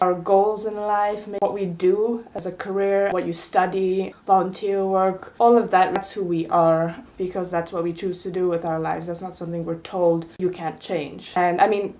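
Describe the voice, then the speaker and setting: Earnest young woman vlogging, lowish voice. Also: darkish tone. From Italy. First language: Italian. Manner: earnest and opinionated, hedges with fillers like "I mean" and "you know". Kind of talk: casual personal monologue to camera.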